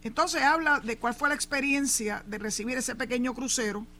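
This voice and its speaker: A woman's voice: a little high, steady tone, normal speed, bright, forceful tone, faint music behind. A Puerto Rican woman hosting a show, giving emphatic opinion commentary on local news and dropping in English asides. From Puerto Rico.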